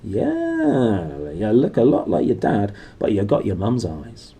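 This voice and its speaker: A man's voice: lowish voice, huge pitch swings, slow, creaky, warm tone, breathy edge, heavily acted. A man voicing a friendly, blunt giant gatekeeper in a storybook, with folksy speech. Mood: warm, jovial. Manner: folksy, dialect grammar, blunt and jolly.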